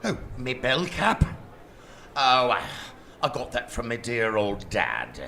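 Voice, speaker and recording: An actor playing an eager, courteous hotel bellhop in a comic radio play, with a formal, halting, deferential way of talking. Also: male voice, mid-range voice, huge pitch swings, creaky, bright, forceful tone, full character voice. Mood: obliging. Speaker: actor in live radio drama. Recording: noisy live theater stage recording.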